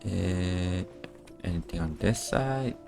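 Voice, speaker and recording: Low, slow-talking male game streamer. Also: lively intonation, gravelly, over music. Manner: muttering to himself, sweary. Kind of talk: casual, reactive gameplay commentary.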